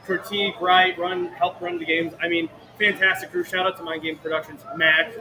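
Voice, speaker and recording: A slightly hoarse man from Florida co-hosting a casual livestream, joking quickly. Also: high-pitched, level tone. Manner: jokey, quick-witted. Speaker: co-host. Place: outdoor event. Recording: clear background event noise.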